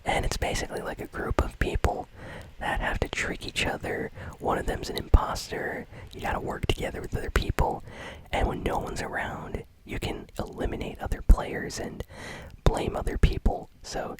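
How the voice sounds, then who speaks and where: A man whispering gaming news in a relaxed ASMR style, chatty and full of fillers. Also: normal speed, rough recording. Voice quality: unvoiced whisper. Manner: casual whisper with many fillers.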